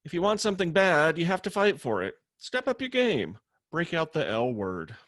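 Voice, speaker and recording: A man's voice: a little high, big pitch swings, moderate pace, a bit bright, no room sound, studio quality. A man playing a sardonic, blunt young roommate in a comedy table read. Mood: blunt, encouraging. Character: dry, sarcastic young male roommate, bluntly supportive.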